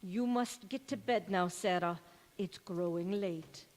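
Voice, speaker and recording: A woman's voice: lowish voice, unhurried, darkish tone, natural voice. A woman acting an old maidservant, grave and devoted, in an old-time radio drama on a stage. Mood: stern. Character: old Scottish maidservant and former nanny, dour and devoted. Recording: echoey church hall stage recording.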